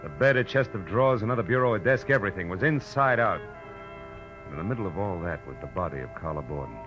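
A man's voice: low-pitched, expressive, quick pace. A man playing a terse, sardonic American private detective in a 1940s radio crime drama, narrating his case and wisecracking. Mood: grim, narrating. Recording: muffled old-time radio recording, music behind.

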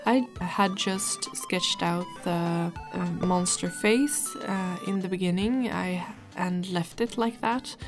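A woman giving a casual, rambling voice-over about her drawing, speaking hesitantly as an illustrator hosting her own video. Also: mid-range voice.

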